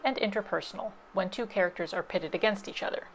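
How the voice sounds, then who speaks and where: A woman's voice: mid-range voice, quick pace, music in the background, low-quality recording. A woman library presenter giving scripted instructional narration, explaining things step by step.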